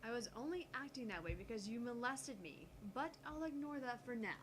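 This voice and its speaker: A woman voicing a sharp-tongued, easily indignant young female genius scientist in a visual novel, curt and sarcastic. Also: a little high, animated, fairly quick, lightly acted. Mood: composed, miffed. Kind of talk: visual novel character reading. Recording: noticeable background noise on home mic.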